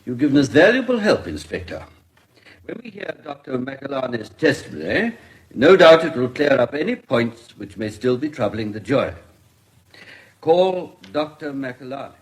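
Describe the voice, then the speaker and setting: A man playing a courteous, formal English coroner presiding over an inquest in a radio drama, with measured courtroom diction. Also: mid-range voice, very animated, lightly acted. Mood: courteous, probing.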